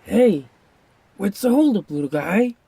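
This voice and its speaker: Casting: grumbly but kindly puppet sidekick, acted out, husky, slow drawl, dry close sound. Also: medium pitch, expressive, mellow, no room sound, heavily acted. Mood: curious, caring. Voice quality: raspy, creaky. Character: wisecracking, grumbling, kindly packrat puppet pal. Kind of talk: children's puppet show voice acting.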